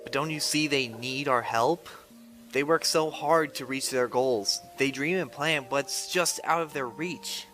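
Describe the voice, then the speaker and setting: Male actor as eager young robot, earnest and impatient, bright tone over faint music. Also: medium pitch, unhurried, natural voice. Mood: pleading. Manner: earnest, pleading. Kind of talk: comic dub voice acting.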